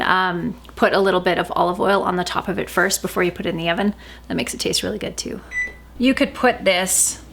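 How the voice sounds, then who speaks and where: Relaxed woman talking in her kitchen, a bit of a sore throat, lots of ums. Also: medium pitch, a little hiss or hum. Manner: chatty, relaxed, with filler ums. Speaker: vlogger cooking at home. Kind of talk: casual cooking vlog chat. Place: home kitchen.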